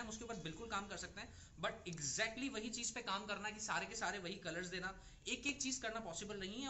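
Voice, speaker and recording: A man's voice: high voice, sharp tone. A young man presenting garment stock to retail buyers in a persuasive, reassuring sales patter, recorded in a clothing warehouse. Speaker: wholesale seller presenting stock. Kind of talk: product showcase pitch to retailers.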